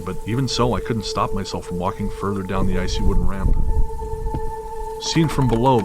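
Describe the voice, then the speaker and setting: A man narrating a scripted first-person horror story in a measured, literary storytelling manner. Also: lowish voice.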